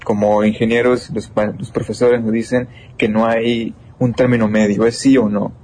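A man's voice: lowish voice, flat delivery, normal speed, a little mellow, slight background noise. A young man with a Mexican accent, an engineering student answering interview questions earnestly, with many restarts and fillers. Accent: Mexican Spanish. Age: young adult.

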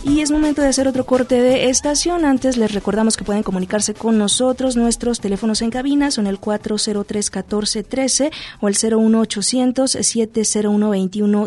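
A woman's voice: on the high side, darkish tone. A woman with a Mexican accent presenting live news as a radio host in a studio.

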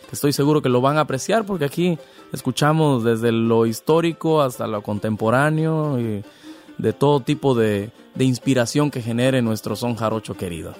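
A man's voice: mid-range voice, moderate pace, darkish tone, soft background music. A man from Veracruz hosting a podcast interview, speaking casually in a friendly, congratulatory way. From Veracruz, Mexico. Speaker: podcast host.

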